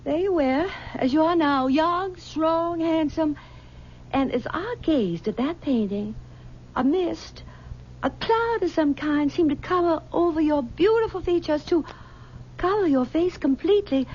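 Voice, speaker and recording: A possessive older mother in a vintage radio play. She coaxes, pleads and stammers in a high voice. The broadcast sound is dull, with faint hiss. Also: expressive, a little mellow. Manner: coaxing and possessive, halting with stammers. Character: clinging, possessive aging mother. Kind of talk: 1970s radio drama acting.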